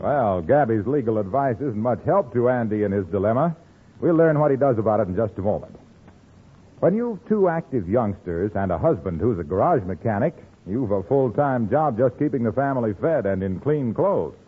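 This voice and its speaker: Animated, husky male 1940s radio announcer. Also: mid-range voice, normal speed. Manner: polished, emphatic. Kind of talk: 1940s scripted radio narration and ad reading. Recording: tinny old 1940s radio recording.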